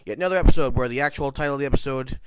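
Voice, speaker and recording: A man's voice: mid-range voice, racing, clear, resonant tone, dry recording. A man with a nasal voice, congested from hay fever, giving a casual, rambling spoken review of a TV episode. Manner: rambling, many restarts and self-corrections.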